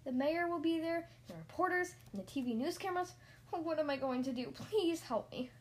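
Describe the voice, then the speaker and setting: A woman voicing an anxious, easily flustered mouse learning to drive in a storybook, with a pleading, squeaky manner. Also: moderate pace, close to an everyday voice. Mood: panicked, wailing. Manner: pleading, squeaky, stammering under pressure.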